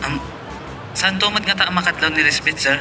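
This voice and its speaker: A deferential driver in a Filipino radio drama. His voice is very bright and forceful, fairly high, and slightly distant from the mic. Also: fairly quick, faint music behind. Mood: deferential, wary. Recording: off-mic, faint car noise.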